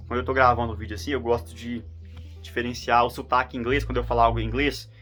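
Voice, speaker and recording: A man's voice: medium pitch, little pitch movement, quick pace, a bit bright, faint music behind. A man from in Brazil, vlogging in a rambling, casual monologue full of fillers and restarts.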